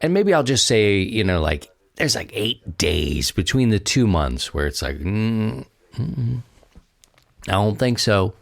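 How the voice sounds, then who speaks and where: American guy podcast host, low voice that moves around a lot, folksy rambly solo chat. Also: big pitch swings, slow pace, creaky, no room sound. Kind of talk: casual solo chat to listeners.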